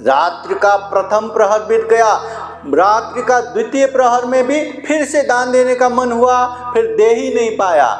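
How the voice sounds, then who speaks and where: A man's voice: very high-pitched, unhurried. A man preaching as a Buddhist monk, telling parables in a public discourse, repeating phrases for emphasis and voicing the dialogue in his stories. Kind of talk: public religious discourse with storytelling. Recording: roomy echoing sound with music behind.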